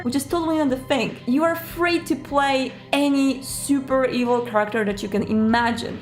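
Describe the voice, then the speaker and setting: A woman hosting an explanatory advice video in a chatty, emphatic way, stretching out key words. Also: fairly high pitch. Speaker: video host giving roleplay advice. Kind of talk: explanatory talk to camera. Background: background music.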